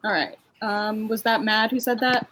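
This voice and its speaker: A woman's voice: a little high, flat delivery, normal speed, a bit bright. A woman hosting casually on an online voice call, organising and checking in with the group. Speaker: host organising a live stream. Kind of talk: casual chat. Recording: faint noise and clicks, online voice call.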